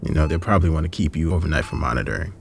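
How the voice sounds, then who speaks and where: A man playing a kind, concerned hospital worker in an audio drama, speaking gently and hesitantly with a creaky voice. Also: a bass voice, racing, slight background noise. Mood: gentle, earnest. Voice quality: gravelly, creaky. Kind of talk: naturalistic audio drama acting.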